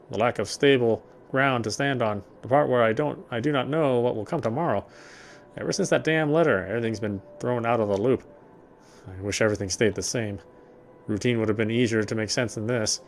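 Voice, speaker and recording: A man narrating a story in the first person, reading in a steady, reflective way with small slips. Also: medium pitch, slight background noise.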